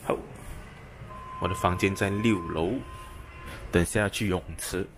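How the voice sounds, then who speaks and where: A man's voice: low-pitched, steady tone, unhurried, soft background music. A man casually walking viewers through a hotel, chatting and pointing things out as he films with a handheld camera. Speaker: travel vlogger. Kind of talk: casual hotel tour narration. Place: hotel lobby and rooftop, walking. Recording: noisy handheld camera audio.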